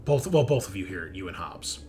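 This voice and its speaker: A man running a tabletop roleplaying game, improvising scene descriptions in a conversational, halting way. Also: sits low, very animated, over music. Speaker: game master. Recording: clear background noise.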